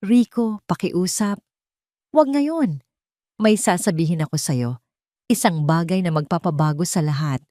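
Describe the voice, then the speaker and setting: A woman's voice: on the low side, expressive, unhurried, pauses often, mellow, no room sound, studio quality. A woman voicing a young pregnant wife who is heartbroken, tearful and pleading, in an audio drama. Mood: desperate.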